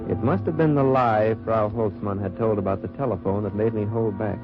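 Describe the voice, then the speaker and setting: A man's voice: fairly low pitch, a little fast, natural voice. An actor playing an undercover wartime agent posing as a wine merchant, narrating his mission in an old radio drama. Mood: wary, reflective. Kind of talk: 1940s-50s radio drama acting and narration. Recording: dull old radio recording with music.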